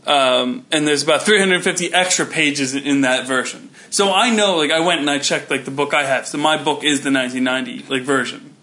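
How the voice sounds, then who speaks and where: A man's voice: a little high, fairly quick, hardly any pauses, pushed and bright. A man in his thirties co-hosting a podcast, rambling with lots of fillers and restarts. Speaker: podcast co-host. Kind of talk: casual chat.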